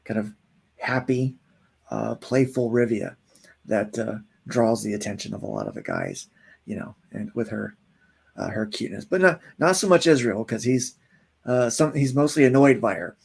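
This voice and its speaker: A gravelly man in his forties talks about his comic project in a loose, rambling way. He pads his sentences with plenty of fillers as a relaxed interview guest. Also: mid-range voice, moderate pace. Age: 40s. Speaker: comic book writer and guest. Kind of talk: casual interview chat.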